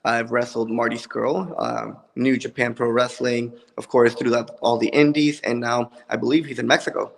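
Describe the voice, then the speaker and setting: Man with a lisp, gravelly voice. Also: mid-range voice. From Puerto Rico. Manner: relaxed, joking, halting with ums. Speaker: wrestler, guest. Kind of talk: casual interview answers. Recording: long reverb.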